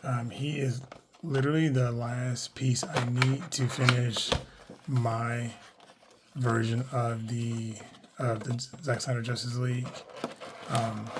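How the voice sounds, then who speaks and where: A man with a hoarse, worn voice, chatting casually through a toy unboxing, rambling with lots of fillers and self-corrections. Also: mid-range voice, heavy background noise. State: hoarse, losing his voice. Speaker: toy collector reviewing action figures.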